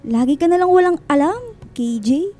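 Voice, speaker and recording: A young Filipino student in a radio drama, chatty yet unsure of her heart. Her voice is very high and gently mellow, with light music underneath. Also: lively intonation, normal speed. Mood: teasing. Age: young adult. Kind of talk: radio drama acting with English code-switching.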